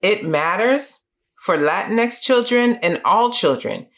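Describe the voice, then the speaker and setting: A middle-aged woman hosting a book series, giving scripted educational narration in a clear, measured, explanatory way. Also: medium pitch, lively intonation. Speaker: host of a children's book review series.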